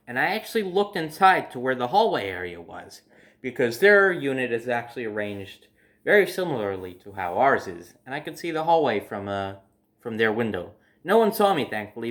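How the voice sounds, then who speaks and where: A man's voice: mid-range voice, fairly bright tone. A man telling personal stories casually to his viewers, in a conversational way, acting out his reactions as he goes. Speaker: storyteller hosting own video series.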